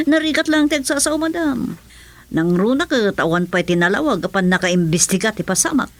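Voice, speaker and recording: A woman's voice: mid-range voice, lively intonation, moderate pace, lightly acted. A Filipino woman playing a chatty, deferential aide in a radio drama, with a playful manner. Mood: gossipy.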